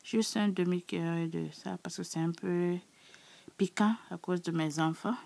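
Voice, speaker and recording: A woman with a sore, hoarse throat, chatting casually to her subscribers as she walks through a recipe in her kitchen. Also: on the low side, fairly even intonation, moderate pace, a little mellow. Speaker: cooking channel host. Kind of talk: casual cooking tutorial.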